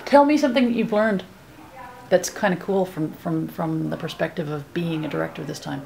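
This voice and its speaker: A woman's voice: sits low, brisk, gravelly, darkish tone, rough recording. A woman interviewer asking questions casually, with informal, hesitant phrasing.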